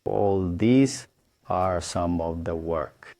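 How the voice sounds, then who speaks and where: A man from Tanzania, an engineer, explaining his background and satellites in a halting way, with pauses and restarts. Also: sits low, dark tone, dry recording. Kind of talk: explaining his work to camera.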